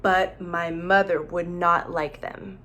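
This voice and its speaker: A woman's voice: on the low side, fairly even intonation, slow, fairly bright tone, a little hiss or hum. A woman teacher reading a children's storybook aloud, expressively, with questions and asides addressed straight to young listeners.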